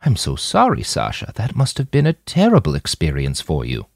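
A man's voice: low voice, huge pitch swings, moderate pace, creaky, no room sound, studio quality, exaggerated voice. A man voicing a kindly, motherly woman in an audiobook story, firm but without anger. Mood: sympathetic.

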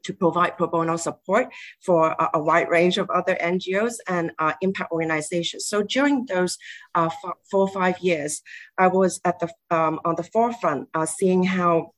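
A woman's voice: lowish voice, steady tone, moderate pace. A woman speaking as a lawyer on a panel, introducing her work with frequent hesitations.

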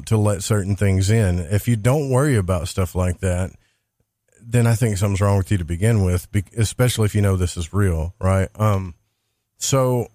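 A man hosting a talk podcast, chatting in a rambling, monotone way. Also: on the low side, no echo, studio quality. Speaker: podcast host. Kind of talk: casual interview chat.

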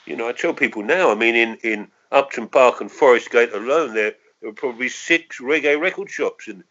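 A man in his sixties with a London accent looks back reflectively in an interview. He feels his way through his thoughts hesitantly and doubles back to qualify them. His voice sits at a medium pitch, and the recording is dull and slightly muffled. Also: brisk, sharp tone. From London, England. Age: 60s. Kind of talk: reminiscing in an oral history interview.

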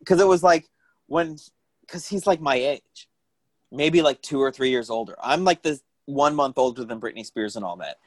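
A man's voice: mid-range voice, normal speed, no room sound. A man in his late thirties co-hosting a casual chat, joking and sardonic, filling his talk with "like". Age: 30s.

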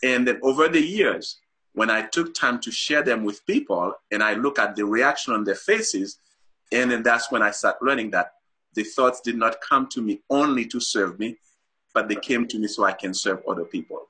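A man's voice: medium pitch, normal speed, sharp tone, breathy edge. A middle-aged man from Haiti, a guest author on a talk show, explains his ideas earnestly with many fillers and restarts.

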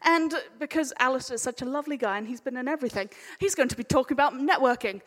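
Female live host, sharp bright tone, irreverent and jokey, excitable delivery, long hall reverb. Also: sits high, fast. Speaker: panel chair. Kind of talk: informal, jokey live panel hosting.

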